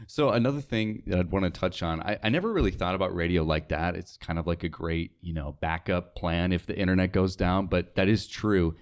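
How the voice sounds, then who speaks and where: A young man from North Dakota speaks as a guest in an interview. His voice is low, and his style is casual and conversational. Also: a little fast, hardly any pauses, smooth. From North Dakota, United States. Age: young adult. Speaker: interview guest, radio sales worker.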